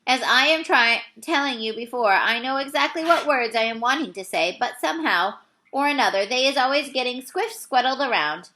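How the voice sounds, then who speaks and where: A woman voicing a very old, friendly male giant from a children's storybook, excitable and easily forlorn, with muddled grammar and invented words. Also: high-pitched, normal speed, rough recording. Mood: wistful.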